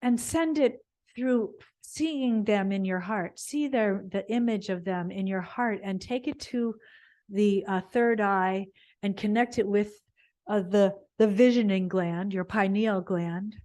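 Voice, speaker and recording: Woman, meditation and yoga teacher, medium pitch, slow delivery, halting, meandering, unscripted guided instruction. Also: dark tone. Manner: meandering, halting, searching for words. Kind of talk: guided gentle yoga body scan, unscripted spiritual instruction.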